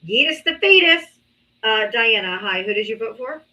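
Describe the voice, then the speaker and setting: Woman host, live-stream debate, bright and a touch high, blunt and profane with callers. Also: expressive, moderate pace, poor audio.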